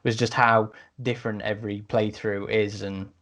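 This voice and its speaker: A man's voice: on the low side, little pitch movement, moderate pace, a bit bright. A man hosting a podcast, chatting informally as he reviews and recommends things. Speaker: podcast host. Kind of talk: casual podcast review chat.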